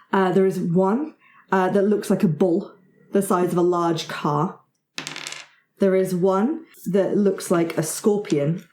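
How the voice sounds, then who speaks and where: A woman narrating a tabletop roleplaying game as game master, improvising her descriptions in a halting way. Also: on the low side, mellow, a little hiss or hum. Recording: echoey room sound.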